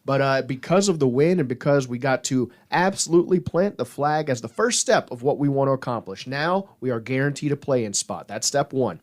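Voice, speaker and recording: A man's voice: medium pitch, brisk, few pauses. A man hosting a sports podcast, talking basketball casually in a folksy, enthusiastic fan-like way.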